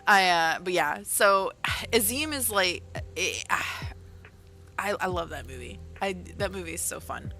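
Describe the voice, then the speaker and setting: A woman talking loosely on a livestream, her voice sitting a bit low but with a bright, sharp edge. She rambles and circles back, correcting herself mid-sentence. Soft music plays faintly behind her. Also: lively intonation, a little slow, creaky. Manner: rambling, chatty, self-correcting with many fillers. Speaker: author and livestreamer. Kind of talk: casual livestream chat.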